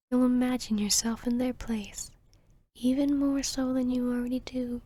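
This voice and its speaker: A woman playing an arrogant, cruel, seductive vampire queen in a hypnotic ASMR roleplay, teasing and commanding in a breathy whisper. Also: on the high side, darkish tone.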